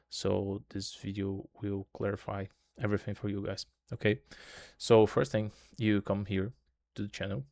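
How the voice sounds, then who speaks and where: Low, flat-voiced man with non-native English. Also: creaky, no echo. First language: Portuguese. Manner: loose repetitive tutorial talk, constant "okay" and "right" tags. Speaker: trading channel host. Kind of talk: casual screen-share walkthrough.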